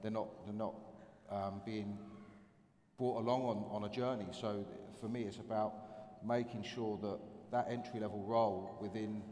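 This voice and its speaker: A man speaking on a conference panel, halting and repeating words as he builds his point. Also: lowish voice, warm tone. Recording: echoey conference hall with faint noise.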